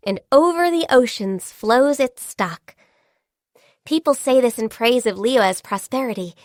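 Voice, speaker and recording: An actress playing a gentle, diligent, shy and youthful half-beast secretary in a video game, speaking politely and earnestly. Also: female voice, on the high side, dry recording, studio quality. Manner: polite, earnest, hesitant when flustered. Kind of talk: video game character voice lines.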